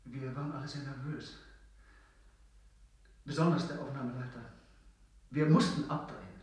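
A man's voice: medium pitch, fairly even intonation, moderate pace, a little mellow, close to an everyday voice. A man acting in a radio play as a nervous, eager, self-absorbed actor, hesitant and apologetic. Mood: sheepish, explaining. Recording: very echoey, distant from mic.

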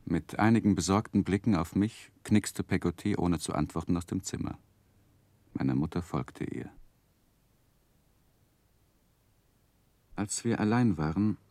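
A man recalling his childhood, old radio drama narration, really low voice, thoughtful, dry sound. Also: dark tone, no room sound. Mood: grave, reflective. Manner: measured, reflective. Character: grown man wistfully recounting his childhood. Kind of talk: scripted literary narration, 1960s German radio drama.

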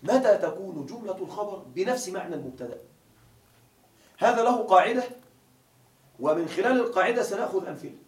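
A man's voice: sits high, expressive, fast, long pauses. A man teaching a grammar class, explaining step by step and quizzing his students with questions. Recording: echoey classroom from a distance, faint hiss.

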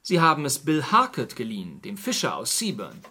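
An actor playing a shrewd, courteous gentleman detective in a radio crime drama, politely probing and wryly sceptical. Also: mid-range voice, wildly swooping pitch, fairly quick, slightly rough, full character voice.

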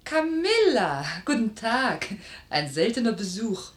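An actress playing a kindly, homely older aunt who keeps a shop, in a radio drama, speaking expressively. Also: female voice, on the high side, sing-song, takes it slowly. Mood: surprised, puzzled.

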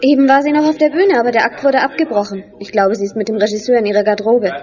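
A woman's voice: on the high side, steady tone, rapid-fire, a little mellow, lightly acted. A woman playing a young, unsure but helpful theatre worker in a radio play. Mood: hesitant, helpful. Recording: muffled, reverberant hallway sound.

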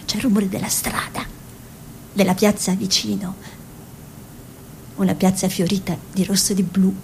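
A woman playing a dreamy, proud young peasant girl in a theatrical radio monologue, speaking in short incantatory phrases with hushed repetitions. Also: level tone, moderate pace, gravelly, lightly acted. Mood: playful, proud. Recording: noisy recording with low rumble.

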